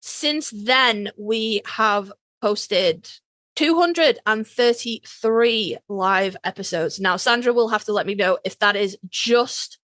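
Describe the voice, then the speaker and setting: A woman's voice: medium pitch, takes it slowly, a bit bright, no room sound. A British woman co-hosting a podcast in a casual, chatty and freely sweary way. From United Kingdom. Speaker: podcast co-host. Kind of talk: casual fandom podcast chat.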